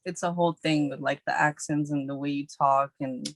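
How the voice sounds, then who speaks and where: Low-voiced New actress, chatty. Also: female voice, steady tone, normal speed. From New York City. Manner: chatty, many filler likes. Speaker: guest actress. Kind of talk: casual podcast chat.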